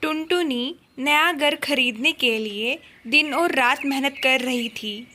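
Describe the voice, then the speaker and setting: A woman's voice: high voice, slow, bright, forceful tone. A woman narrating a scripted children's story.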